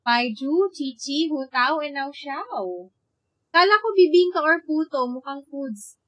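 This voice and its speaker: A woman's voice: high voice, expressive, measured pace, a bit bright, highs cut off. A woman with a Filipino accent giving chatty, reactive live gameplay commentary, switching casually between two languages. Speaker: game streamer. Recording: stream voice with game music behind. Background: game music.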